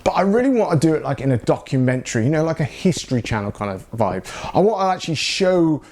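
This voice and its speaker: A man's voice: on the high side, sing-song, fast, darkish tone. A man from South England, talking casually to camera as a YouTuber, rambling along with lots of fillers. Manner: rambling, with many fillers like "you know" and "that lot". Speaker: YouTuber and videographer.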